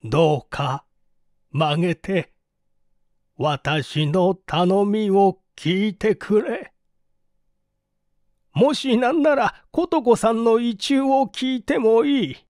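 A man's voice: high voice, takes it slowly, pauses often, a little mellow, dry recording, clean studio sound. A man playing an earnest, insistent father making a formal plea for his son in an audiobook story. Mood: pleading.

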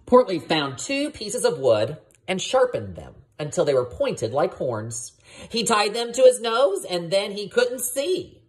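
A woman reading a children's picture book aloud like a teacher, expressive and addressing her young listeners. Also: medium pitch, unhurried, breathy edge. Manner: expressive, asks listeners questions.

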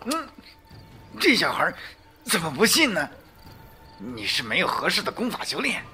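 An actor playing a mysterious, cunning old master in a Chinese costume audio drama, theatrical, lofty and coaxing. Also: male voice, sits high, huge pitch swings, moderate pace, pushed and bright, faint music behind, full character voice. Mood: boastful, persuasive. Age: older.

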